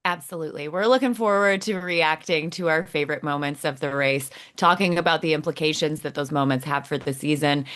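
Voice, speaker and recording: A woman's voice: low voice, fairly even intonation, a little fast, hardly any pauses, a bit bright. A woman co-hosting a podcast, explaining things in a conversational presenting style. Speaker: podcast co-host.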